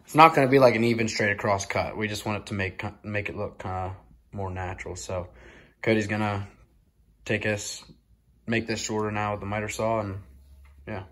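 Low-voiced young man narrating shop work. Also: moderate pace. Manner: relaxed, filler-heavy explaining. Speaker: DIY video co-host. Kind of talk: casual how-to narration. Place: cold wood shop shed.

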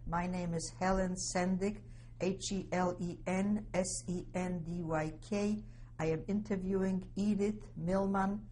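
A woman's voice: fairly low pitch, darkish tone, a little hiss or hum. A woman conducting a formal oral history interview, prompting politely and steadily.

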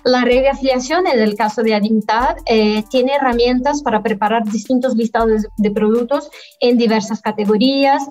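Woman guest pausing often on a video call. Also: on the high side, darkish tone, faint music behind. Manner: measured, halting delivery with frequent pauses. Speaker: guest, affiliate manager. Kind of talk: business interview answers. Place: indoor room at home, by video call. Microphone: webcam on a video call.

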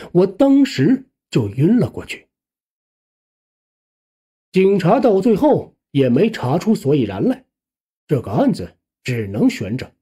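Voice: medium pitch, dark tone, slightly rough. A narrator reading a suspense ghost story aloud as scripted, dramatic audiobook narration.

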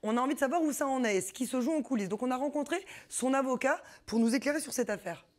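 A woman in her forties hosting a studio sports show, presenting segments in a lively way. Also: sits high, fast talker. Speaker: show host.

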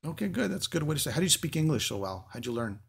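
A middle-aged man hosts a casual interview, his first language Greek. His voice is gravelly and husky, and he talks informally, often repeating phrases. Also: on the high side, very animated, very fast. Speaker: interview host.

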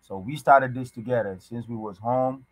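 A young man born in Ghana, chatting casually as a podcast guest over a video call from a small room, halting and full of restarts. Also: mid-range voice, dry recording, poor audio. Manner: halting, many restarts and fillers, loose slangy talk. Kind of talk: casual conversation. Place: indoors, small room at home.

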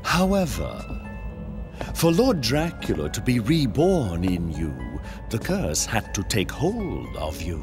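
A man's voice: on the low side, huge pitch swings, slow pace, music in the background, heavily acted. A man playing a sinister, gloating servant of death in a video game, with archaic, mocking, theatrical delivery. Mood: smug, sinister.

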